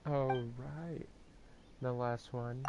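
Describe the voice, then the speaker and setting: Very low-voiced woman gamer. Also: fairly even intonation, very slow, background noise. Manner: amused, offhand reactions with slang. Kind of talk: casual gameplay commentary. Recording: loud game music behind the voice.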